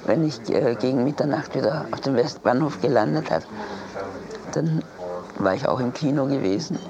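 Creaky voice reminiscing amid chatter. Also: mid-range voice, normal speed, muffled recording. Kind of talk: spontaneous spoken reminiscence.

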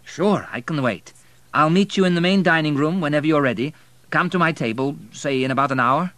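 Guy doing a wry self-made hotel tycoon, a bit higher than his own voice, bright. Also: fairly quick, dry recording, close to an everyday voice. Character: self-made immigrant hotel magnate, wry middle-aged man.

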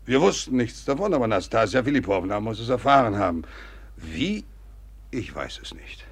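Husky, animated old general. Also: male voice, lowish voice, normal speed, exaggerated voice. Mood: confiding, urgent. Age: older. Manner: blustering, rambling, confidential, hesitating mid-sentence. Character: agitated, talkative old Russian general and worried father. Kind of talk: theatrical German radio play acting.

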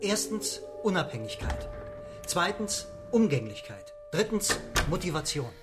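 A visionary project leader in a science fiction radio play. The voice is fairly high for a man, earnest and self-assured, in an echoey room with faint music. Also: very animated, measured pace. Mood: calm, decisive. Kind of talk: radio drama acting.

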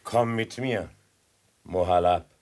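An actor playing a calm, commanding prince in a radio play, kind but lordly, with brief authoritative phrasing. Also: male voice, low-pitched, slow pace. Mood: calm, reassuring. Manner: brief, authoritative questions and orders. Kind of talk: German radio play acting.

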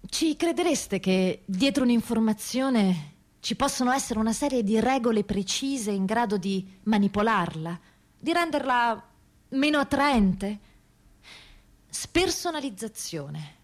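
A woman performing a scripted theatrical monologue, deliberate and rhetorical, with dramatic pauses. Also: mid-range voice, a little mellow. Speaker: stage performer and narrator.

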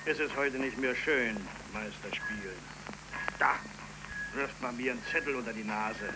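A man's voice: medium pitch, normal speed, lightly acted. An actor playing a weary, reluctant male factory foreman in a 1930s German radio drama. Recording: very noisy 1931 radio recording.

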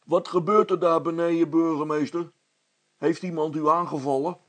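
A man's voice: a little high, steady tone, normal speed, no echo, voice pushed up, close to an everyday voice. A man voicing an obedient, plain-spoken village constable in a storybook reading, deferential and earnest. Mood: alarmed.

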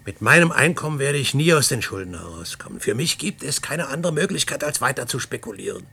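Gravelly, forceful older man, a debt-ridden scheming professor, agitated and defensive. Radio drama, faint noise. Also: medium pitch, sing-song, fast, heavily acted. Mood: urgent, scheming. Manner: urgent, agitated, self-justifying. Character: ageing indebted professor, driven, scheming, defensive husband. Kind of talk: German radio play acting. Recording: faintly noisy vintage radio drama recording.

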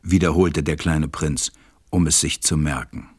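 A man narrating a scripted story for a radio play in a measured, calm storytelling manner. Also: very deep voice, husky.

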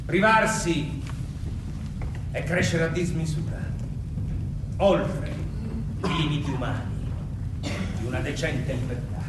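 A man's voice: fairly high pitch, slow pace, long pauses, heavy background noise. A man playing a mad, megalomaniac Roman emperor in a theatrical stage monologue, declaiming grandly and swinging between brooding and frenzy. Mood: brooding, ironic. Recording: echoey distant stage, loud music. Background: loud music.

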